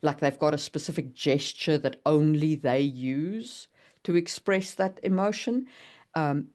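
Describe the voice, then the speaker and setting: A woman's voice: deep voice, a little slow, a little mellow. A woman co-hosting a writing podcast, explaining in a conversational way and carefully qualifying her points. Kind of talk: casual explanatory discussion.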